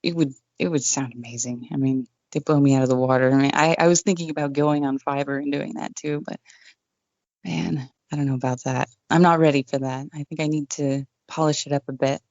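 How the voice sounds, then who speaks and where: A woman with a low voice, chatting casually as an interview guest, relaxed and self-deprecating, on a condenser mic at home. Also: a little fast, no echo. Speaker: guest, online radio DJ. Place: home room without acoustic treatment. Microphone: condenser desk mic with pop screen. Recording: dull, dry home recording.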